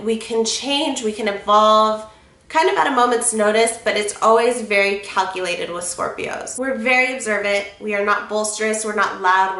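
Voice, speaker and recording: A woman in her twenties talks straight to camera from her bed, bright and forward in tone, her voice a little congested from a cold. She sounds frank and confiding and swears casually. The bedroom lends a noticeable echo. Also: mid-range voice. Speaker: vlogger.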